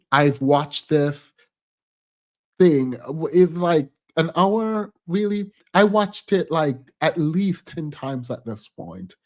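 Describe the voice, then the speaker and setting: Stuffy-voiced man slowly musing on politics. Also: on the high side. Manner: chatty and reflective, frequent ums and restarts, occasional laughs. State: congested, stuffy from a cold. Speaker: commentary channel host. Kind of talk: casual political commentary.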